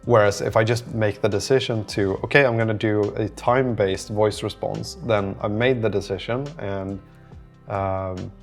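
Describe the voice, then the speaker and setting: Low-voiced man thinking out loud, faint music. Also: steady tone, normal speed. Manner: rambling and reflective, trailing off mid-thought. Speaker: app developer documenting his work on a vlog. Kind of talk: casual thinking-aloud vlog.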